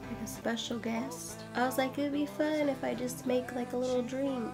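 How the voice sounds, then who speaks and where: A woman's voice: sits high, fairly even intonation, normal speed, over music. A young woman vlogging casually in a parked car, tipsy, loose and giggly, swearing freely. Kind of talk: casual vlog chat.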